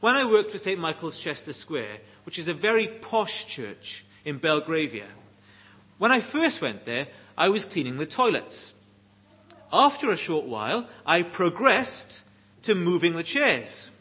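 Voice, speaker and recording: A middle-aged man with a Welsh accent preaching a sermon in deliberate, emphatic phrases with pauses. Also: fairly high pitch, very animated, pushed and bright, slightly rough. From Wales.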